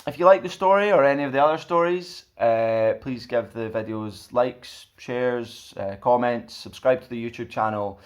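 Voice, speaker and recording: A young man hosting a children's storytime, reading a picture book aloud in an expressive way. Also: mid-range voice, normal speed, few pauses. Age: young adult. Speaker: storyteller, host.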